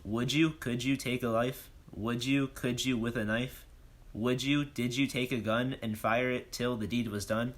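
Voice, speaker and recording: An actor playing a bold, self-important police detective, declaiming in rhyme in a comic audio drama. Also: male voice, mid-range voice, steady tone, a little slow, lightly acted. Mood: accusing, boastful. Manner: declamatory, questioning, speaks in rhyme.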